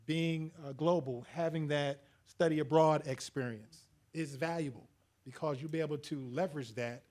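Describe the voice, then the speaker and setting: A man's voice: a little high, steady tone, normal speed, darkish tone. A man speaking as a panelist to an audience, earnest, chuckling now and then, with many fillers. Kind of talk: panel discussion.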